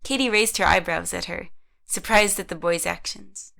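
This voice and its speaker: A woman's voice: lowish voice, normal speed, gravelly, pushed and bright. A woman reading scripted narration for an audio drama, telling the story in the third person.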